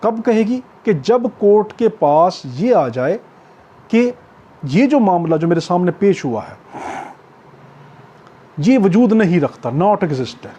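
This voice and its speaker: A man with a Pakistani accent giving an explanatory law lecture, going step by step, repeating points, checking in often and slowing down to read clauses in English. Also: high voice, big pitch swings, mellow, slightly rough, highs cut off. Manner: repetitive step-by-step explaining with frequent check-ins; switches into slow English when reading out clauses. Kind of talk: explanatory exam-prep lecture, reading clauses and glossing them.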